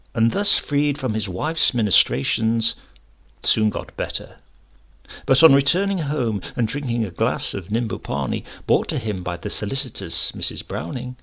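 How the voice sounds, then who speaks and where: A man with a husky voice reading a literary story in a measured, wry way. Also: mid-range voice, fairly bright tone. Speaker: story narrator. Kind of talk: scripted literary narration.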